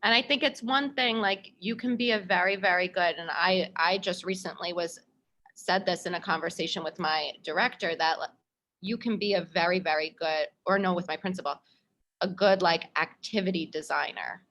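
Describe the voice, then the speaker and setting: A woman language teacher presenting a workshop on a video call. She talks with eager agreement, often restarting her sentences with "like." Her voice sits a little low for a woman. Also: moderate pace, fairly bright tone. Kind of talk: casual webinar discussion.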